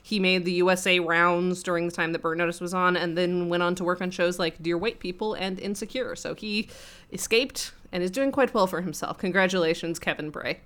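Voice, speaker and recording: A woman with a low voice and a bright, forceful edge, deepened a bit by a cough. She banters as a podcast co-host, powering through sentences in radio DJ style with playful mock drama. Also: steady tone, fairly quick, smooth. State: has a cough. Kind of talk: casual comedic podcast banter.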